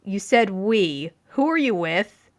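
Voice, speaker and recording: A woman's voice: medium pitch, expressive, slow pace. A woman voicing a nervous, hesitant young man in a fan fiction reading. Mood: resigned. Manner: hesitant, stammering. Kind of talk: fan fiction audiobook character voice.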